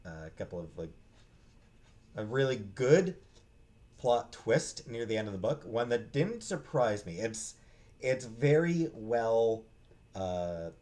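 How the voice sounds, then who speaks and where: A man giving a casual, unscripted book review in a halting, hesitant way, often trailing off and restarting. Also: medium pitch, very animated, darkish tone. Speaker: book reviewer, vlog host.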